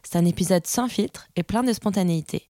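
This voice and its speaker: A woman's voice: on the low side, a little fast, warm tone, no room sound, studio quality. A woman hosting a podcast, reading a scripted introduction and then asking friendly interview questions. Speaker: podcast host.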